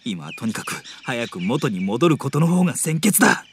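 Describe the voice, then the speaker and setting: A man's voice: medium pitch, sing-song, moderate pace, slight background noise. A man voicing a serious older brother who speaks stiffly and gets irritated easily, in a drama CD. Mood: exasperated. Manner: stiff, formal, exasperated. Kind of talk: anime drama CD acting.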